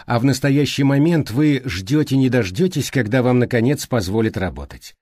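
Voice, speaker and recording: A man voicing a thoughtful, courteous, exacting male detective in an audiobook, speaking politely and deliberately. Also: mid-range voice, lively intonation, a little mellow, dry recording, natural voice. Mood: firm, lecturing. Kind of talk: audiobook character reading.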